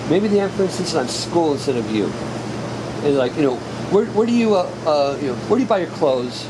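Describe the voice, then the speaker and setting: Male director at a rehearsal, slightly high voice, stumbling and restarting, loud room noise behind. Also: big pitch swings. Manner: halting, repeats himself, talks over others. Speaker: director running a table read. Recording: very noisy room hum.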